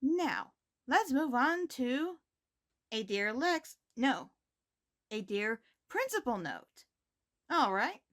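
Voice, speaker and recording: A woman playing a poised, caring high school principal, giving scripted announcements in a measured, formal manner. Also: big pitch swings, a bit bright, exaggerated voice. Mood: earnest. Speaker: host reading school announcements.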